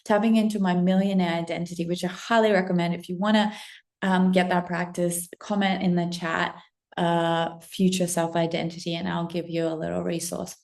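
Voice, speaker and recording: A woman's voice: on the low side, little pitch movement, normal speed, gravelly, darkish tone. A woman from Russia in her thirties, talking straight to camera as a spiritual business coach, effusive and breathless, swearing freely and saying "like" often. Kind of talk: excited casual monologue to camera.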